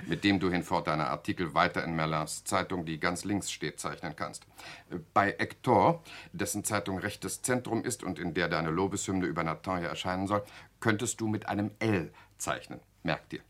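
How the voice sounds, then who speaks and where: A cynical male mentor in intrigue for a German radio drama. The voice is low yet bright and forceful, the delivery boisterous and coaxing.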